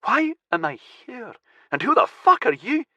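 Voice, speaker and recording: Here is a frightened, enraged young hostage, played by a man reading the story, whose voice jumps high into strained shouts and curses. The audiobook recording is close and dry, with no room around it. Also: very animated, a little slow, dry recording, highs cut off, exaggerated voice. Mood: panicked, furious. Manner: panicked shouting and swearing. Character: terrified, furious young man held captive.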